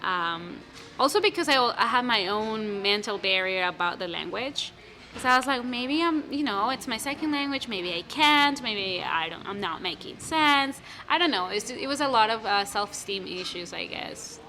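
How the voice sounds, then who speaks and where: A woman's voice: fairly high pitch, normal speed, soft background music. Earnest young woman in a podcast interview. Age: 20s. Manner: earnest, animated when quoting others, many restarts. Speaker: playwright guest.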